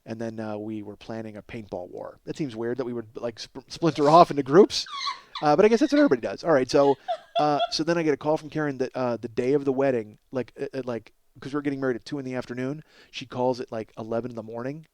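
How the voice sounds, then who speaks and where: A middle-aged man hosting a comedy podcast, telling stories in a casual, profane, self-deprecating ramble and exaggerating excitably for comic effect. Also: mid-range voice, fast, a little hiss or hum. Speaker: podcast host, comedic storyteller.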